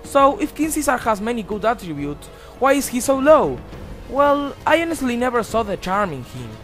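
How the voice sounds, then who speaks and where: Young man, high voice, bouncy swooping delivery, emphatic scripted voice-over. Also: sing-song, moderate pace, fairly bright tone, faint music behind. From Argentina. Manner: emphatic, exclamatory, crude jokes. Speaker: countdown video host.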